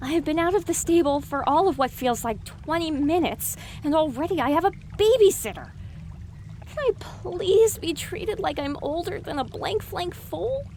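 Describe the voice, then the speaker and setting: A woman's voice: very high voice, animated, moderate pace, darkish tone, slight background noise. A woman voicing a young female pony in an audio drama, wry, sarcastic and exasperated.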